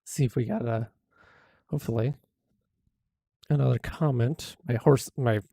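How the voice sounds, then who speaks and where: Hoarse, rambling male streamer. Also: mid-range voice, unhurried, dark tone, dry recording, studio quality. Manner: rambling, many fillers and restarts. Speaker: streamer critiquing videos. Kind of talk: casual live-stream commentary.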